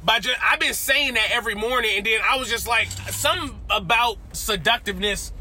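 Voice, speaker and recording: A man's voice: sits high, a little fast. A young man, talking to the camera in a rambling, slangy, self-coaching way while driving his car. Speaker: vlogger. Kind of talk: casual self-talk vlog. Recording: loud car road noise.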